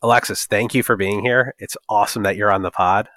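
A man's voice: lowish voice, fairly even intonation, fairly quick, pushed and bright, no room sound, studio quality. A man hosting a podcast, asking questions in a jokey, rambling way with many fillers. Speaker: podcast host. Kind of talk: casual podcast interviewing.

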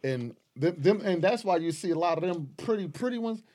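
A man with a slightly higher voice speaks in a brash, emphatic way, packing his talk with slang and swearing. He drives his points home forcefully in a relaxed group chat. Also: fairly quick. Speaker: guest. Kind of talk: casual frank podcast chat.

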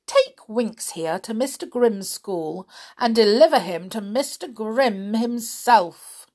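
A woman's voice: on the high side, expressive, extremely slow, sharp tone, heavily acted. A woman voicing an angry, bossy witch in a children's story, scolding and giving commands.

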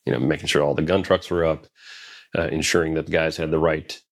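A man with a very low, deep voice recounts his time in Special Forces. He talks casually and loosely, circling back with fillers and restarted sentences. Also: flat delivery, brisk. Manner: rambling, many fillers and restarts. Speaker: guest, Special Forces veteran. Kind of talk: casual interview storytelling.

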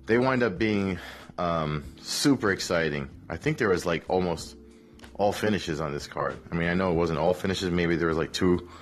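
A man, hungover, hosting a casual solo podcast recap in a relaxed, rambling way with many fillers. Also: deep voice, normal speed. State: hungover, rough voice. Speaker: podcast host, MMA analyst.

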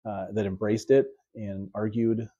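A man's voice: lowish voice, little pitch movement, unhurried, dark tone, lo-fi sound. A man hosting a podcast and talking casually as a teacher, often repeating words as he thinks. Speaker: podcast host and high school teacher. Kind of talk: casual conversation about teaching.

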